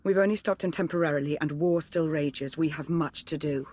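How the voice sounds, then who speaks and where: A woman's voice: low voice, a little fast, a little mellow. A woman playing a cool, pragmatic advisor in a video game, speaking in a composed, articulate and formal manner. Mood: composed resolve. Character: cool, pragmatic female Sith advisor, resolute and calculating. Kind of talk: video game cutscene acting.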